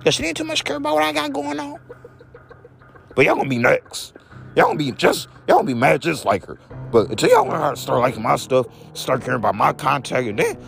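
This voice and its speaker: A young man with a deep voice, talking in a rambling, repetitive vlog rant, whose voice jumps high when he gets upset. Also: creaky. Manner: repeats each phrase, voice leaps high when upset. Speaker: vlogger.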